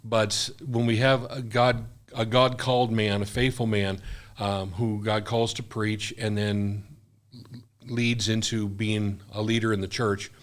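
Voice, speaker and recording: A man's voice: on the low side, little pitch movement, measured pace. An older man, a missionary guest, talking earnestly in casual conversation, often restarting his sentences.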